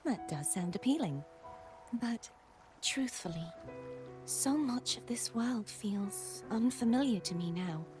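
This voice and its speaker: Game role: earnest young maiden, headstrong and formal. High-pitched, measured, set over music. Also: female voice, animated, darkish tone. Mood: reflective. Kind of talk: dramatic video game voice acting. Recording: music behind the voice.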